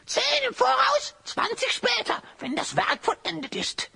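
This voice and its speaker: A sly hyena rabble-rouser from an audio drama. The voice is extremely high, sharp and creaky, delivering theatrical rhymes with a cackling edge. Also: big pitch swings, takes it slowly, full character voice. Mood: sly, boastful. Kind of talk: German children's audio drama acting.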